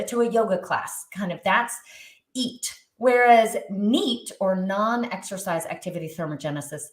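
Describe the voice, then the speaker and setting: Middle-aged woman guest expert, emphatic and lively, recorded in a roomy, echoey space. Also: medium pitch. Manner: animated, emphatic, frequent restarts. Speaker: guest expert on movement and wellbeing. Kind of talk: conversational interview.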